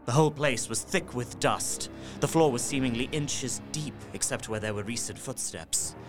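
An actor playing an earnest young gentleman who narrates his horror journal in an audio drama, in a measured, literary and haunted manner. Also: medium pitch, over music, lightly acted. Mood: haunted, uneasy.

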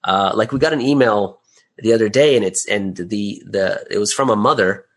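Male interview guest, lower-pitched voice, conversational and chatty delivery, peppered with small check-in tags. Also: moderate pace. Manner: chatty, often adds "right?" and "you know". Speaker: interview guest, audio drama creator.

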